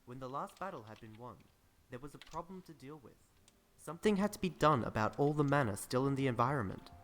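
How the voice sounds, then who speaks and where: Male actor as a grave fantasy storyteller, mid-pitched, measured scripted cutscene narration over music. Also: expressive, fairly bright tone, close to an everyday voice. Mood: solemn. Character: solemn storyteller narrating fantasy game lore.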